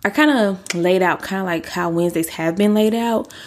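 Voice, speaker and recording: A young woman hosting a faith-based podcast, six months pregnant and a little out of breath, talking earnestly and casually to her listeners. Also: moderate pace. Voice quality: deeper than usual. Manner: earnest, chatty, uses y'all. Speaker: podcast host.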